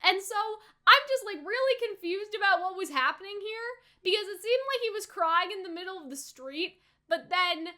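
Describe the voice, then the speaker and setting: High-pitched, bright young woman. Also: expressive, moderate pace. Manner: informal, slangy, speculative, punctuated with rhetorical questions. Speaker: reaction video host. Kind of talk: casual anime reaction commentary.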